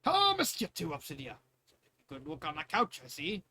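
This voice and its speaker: A man's voice: a little high, huge pitch swings, moderate pace, bright, forceful tone, full character voice. Man reading as an easygoing knightly father. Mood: teasing. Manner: genial, unbothered. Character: easygoing royal guard captain, fond father, a man.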